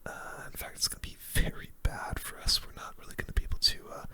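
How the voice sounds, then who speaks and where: A gaming host giving hushed, casual ASMR commentary in a whisper, using relaxed gamer slang. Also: fast.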